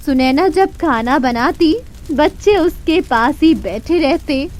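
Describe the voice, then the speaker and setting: A woman's voice: high-pitched, animated, takes it slowly, slight background noise. A woman reading scripted narration for a children's moral story. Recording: loud music behind the voice.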